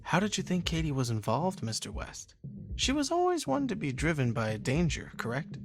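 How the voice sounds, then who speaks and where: Video game inquisitor: sly, polished, taunting, very theatrical rise and fall in pitch, faint music underneath. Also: male voice, huge pitch swings, vocal fry, full character voice. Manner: polished, mocking, deliberate, condescending. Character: smug, probing, coolly taunting male interrogator. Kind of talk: scripted game cutscene acting.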